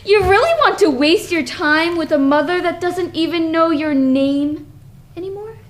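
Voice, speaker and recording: A woman acting in an amateur stage play as a young woman's sly, taunting inner self, speaking in a deliberate, insinuating way. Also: very high voice. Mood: coaxing, taunting.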